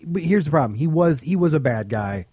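High-pitched, blunt man bantering on a podcast. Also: expressive, a little fast, warm tone, breathy edge, no room sound. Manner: blunt, opinionated, profane. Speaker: podcast co-host and stand-up comedian. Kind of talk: casual comedic banter.

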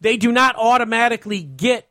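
High-pitched, exasperated American man ranting. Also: lively intonation, a little fast, sharp tone, dry recording, clean studio sound. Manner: exasperated, emphatic, repeats himself. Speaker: podcast host. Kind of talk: casual sports rant.

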